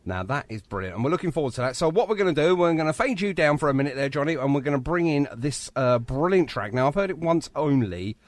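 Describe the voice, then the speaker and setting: A man's voice: a little high, expressive, fast, few pauses. A man hosting a live radio show in a studio, chatting in an enthusiastic, effusive way. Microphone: studio microphone.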